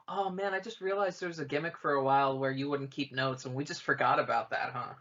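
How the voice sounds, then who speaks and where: A man's voice: mid-range voice, fairly bright tone, highs cut off. A man about thirty hosting a podcast, laughing easily and steering the conversation between his co-hosts. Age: 30s. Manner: laughing, moderating, steering the talk. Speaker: podcast host. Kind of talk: casual chat.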